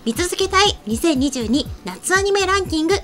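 A woman's voice: extremely high pitch, animated, normal speed, fairly bright tone, faint music behind. A young woman co-hosting a casual anime review show, explaining things with enthusiasm into a desk microphone in a small studio. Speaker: co-host of an anime talk show. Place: small indoor studio set.

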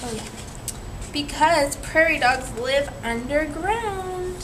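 A woman's voice: sits high, lively intonation, takes it slowly. A young woman reading a picture book aloud to children in a teacherly way, prompting them with questions. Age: young adult. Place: small indoor room, seated in a chair. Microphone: camera a few metres away. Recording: distant camera mic, clearly noisy room.

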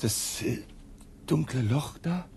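A man's voice: mid-range voice, animated, slow pace, gravelly, a little mellow, a little hiss or hum. An actor playing a nervous, bewildered man in a radio drama, hesitant and stammering, often repeating his words. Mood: bewildered, anxious. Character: nervous, bewildered companion, unwilling visitor. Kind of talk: German radio drama acting.

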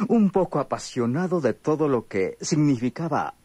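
A man's voice: fairly high pitch, big pitch swings, unhurried, mellow, breathy edge, heavily acted. An actor playing a pompous, courteous, elegant lawyer in his fifties, pedantic and ornate, in a radionovela. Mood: delicate explaining. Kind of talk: radionovela acting.

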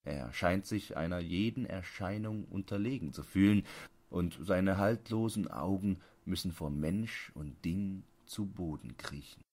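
A man with a low-pitched voice narrates an audiobook. He reads scripted literary prose in a measured manner, enunciating each phrase with care. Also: a little mellow.

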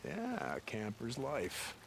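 An actor playing a gruff, terse, sardonic drifter biker with a gravelly voice in a video game cutscene. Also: male voice, mid-range voice, wildly swooping pitch, very slow, noisy background, exaggerated voice. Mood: guarded, wry. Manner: terse, sardonic, swears when angered. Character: gruff, hot-tempered drifter biker man in post-apocalyptic wilds.

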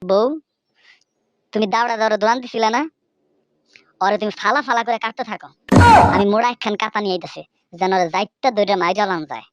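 A woman's voice: medium pitch, brisk, pauses often, no echo, lo-fi sound, close to an everyday voice. A woman voicing a protective, easily outraged old village grandmother in a comic cartoon, nagging indignantly with a Bangladeshi accent. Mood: disgusted. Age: older.

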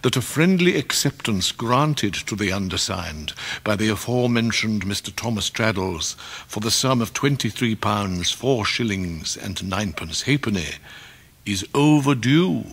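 An actor playing a pompous, theatrical English gentleman in debt, speaking in florid, orotund phrases in a radio drama. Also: fairly low pitch, expressive, takes it slowly, husky, full character voice. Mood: gloomy, grandiose. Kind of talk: 1990s British radio drama acting.

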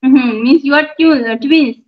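A middle-aged woman asks questions in a measured, encouraging way with frequent filler pauses. Her voice sits high, and the video-call audio sounds dull and lo-fi. She speaks from a room at home. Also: faint music behind. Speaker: YouTube channel host and mother. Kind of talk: English conversation practice on a video call. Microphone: phone on a video call.

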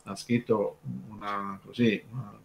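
A man from Terni explains things in an informal radio discussion, halting and discursive, stressing key words. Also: lowish voice, flat delivery. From Terni, Italy.

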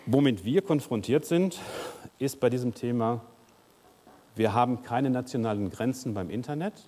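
A man's voice: mid-range voice, normal speed, mellow. A man in his fifties giving a conference keynote in a large lecture hall, speaking conversationally with frequent "uh" fillers. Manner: gestures and moves about the stage while speaking. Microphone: lapel mic. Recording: long hall reverb.

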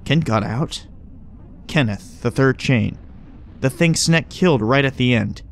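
A man's voice: mid-range voice, measured pace, slight background noise, lightly acted. A man playing a smug, casual agent who explains things glibly in audio drama dialogue. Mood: measured, probing. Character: breezy, smug male agent who knows hidden things.

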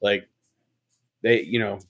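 A man's voice: on the low side, steady tone, takes it slowly, vocal fry, a bit bright. A man co-hosting a casual fishing podcast over a video call, telling stories with animation and hedging his opinions. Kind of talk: casual storytelling chat.

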